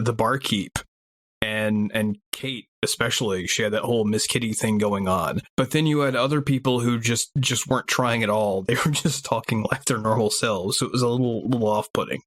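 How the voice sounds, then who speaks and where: A man's voice: mid-range voice, a bit bright. A man from the American South chatting casually as a podcast co-host. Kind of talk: casual chat.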